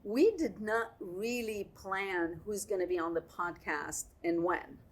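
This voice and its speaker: A woman from Lebanon hosting a student podcast, asking curious questions in a lively, restarting way. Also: medium pitch, unhurried. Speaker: podcast host and professor. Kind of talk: casual interview.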